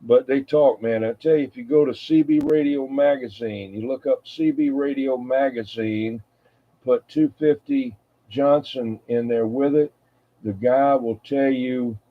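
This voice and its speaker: Folksy man, muffled phone-like sound. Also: medium pitch. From United States East Coast. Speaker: CB radio show host. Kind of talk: casual rambling hobby chat.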